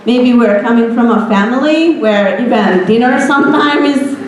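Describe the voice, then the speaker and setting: A woman's voice: fairly high pitch, normal speed. Hungarian woman giving a pep talk to students, earnest, asks questions, lots of room echo. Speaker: guest speaker. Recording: echoey room recording.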